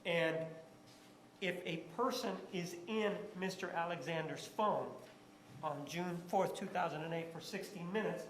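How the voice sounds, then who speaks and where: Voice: mid-range voice, a little slow. A lawyer cross-examining a witness in a courtroom, putting pointed leading questions that end in short tags. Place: large indoor courtroom. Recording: echoey courtroom, faint background noise.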